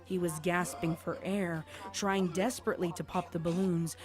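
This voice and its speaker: A woman's voice: fairly low pitch, steady tone, moderate pace, faint music behind. A woman reading scripted story narration as the narrator of an audio tale.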